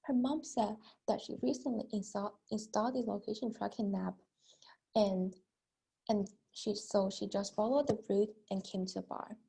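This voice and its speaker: Husky young woman giving a prepared speech. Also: mid-range voice, steady tone, normal speed, warm tone. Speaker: student speaker.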